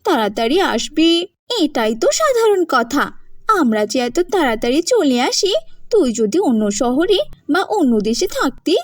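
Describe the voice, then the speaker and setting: Casting: argumentative, haughty younger sister for a cartoon, acted by a woman, very high voice, lively swings. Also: moderate pace, no room sound, clean studio sound. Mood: defensive, haughty. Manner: sharp, argumentative. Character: defiant, quarrelsome younger sister, a boastful engineer. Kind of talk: cartoon voice acting.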